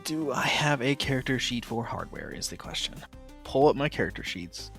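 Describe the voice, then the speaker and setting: A man's voice: mid-range voice, expressive, normal speed, gravelly, a bit bright, breathy edge, faint music behind. A man acting as game master, narrating casually and improvising with players at a tabletop game.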